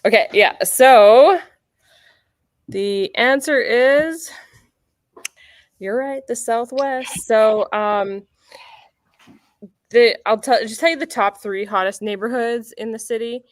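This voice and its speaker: A woman's voice: on the high side, slow pace, pauses often, no room sound, studio quality. A woman hosting a casual real estate chat, upbeat and chatty, correcting herself as she goes. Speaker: realtor and show host. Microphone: computer mic near a keyboard.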